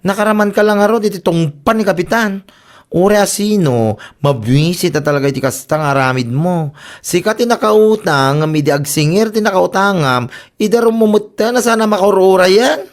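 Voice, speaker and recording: A woman's voice: mid-range voice, normal speed, natural voice. A woman playing a blunt, scolding village neighbour. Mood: scolding, exasperated. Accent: Ilocano. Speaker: actor in an Ilocano comedy radio drama. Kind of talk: comedic radio drama acting.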